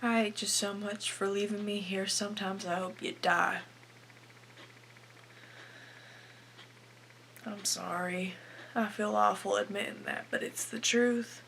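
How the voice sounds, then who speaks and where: A woman's voice: mid-range voice, unhurried, vocal fry, pauses often, a little hiss or hum, low-quality recording. A teenage girl playing a desperate, bitter young farm wife in a confessional dramatic monologue. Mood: guilty, subdued. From Canada. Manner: confessional, anguished, swinging from hushed to bursting. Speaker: aspiring actress performing a film monologue.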